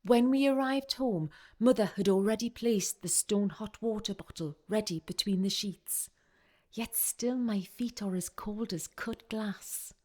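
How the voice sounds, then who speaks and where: Woman playing an excitable romantic young Victorian woman. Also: mid-range voice, unhurried, natural voice. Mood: hushed, yearning. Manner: confiding, expressive storytelling. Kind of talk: radio play monologue.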